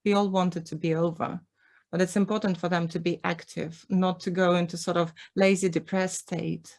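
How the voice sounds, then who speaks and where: A woman's voice: lowish voice, flat delivery, normal speed, a little mellow. A woman from Ukraine with a Ukrainian accent, whose first language is Russian, giving an earnest online presentation over a video call, with frequent ums and restarts.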